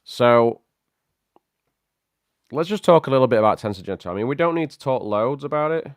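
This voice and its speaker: A man hosting a casual tech livestream, chatty and greeting viewers by name, his voice a little croaky from a cold. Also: mid-range voice, animated, normal speed, dry recording, studio quality. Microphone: studio microphone.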